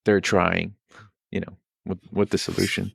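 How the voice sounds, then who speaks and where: A man's voice: deep voice, flat delivery, normal speed, vocal fry, a bit bright, breathy edge. A man making casual remarks as a guest in a roundtable chat. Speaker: podcast guest.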